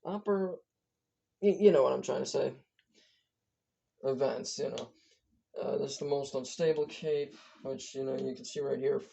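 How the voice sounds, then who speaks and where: A hoarse speaker whose voice is giving out, giving a casual, rambling weather briefing as an amateur forecaster, stumbling and correcting themselves often. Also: mid-range voice, dark tone. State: hoarse, losing voice. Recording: dull lo-fi recording.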